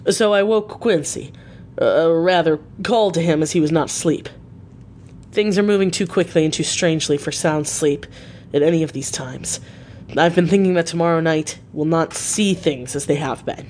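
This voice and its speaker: A narrator plays an anxious gentleman in a gothic storybook. He is courteous and earnest, speaking formally at a middling pitch over a noisy, rumbling recording. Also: lightly acted.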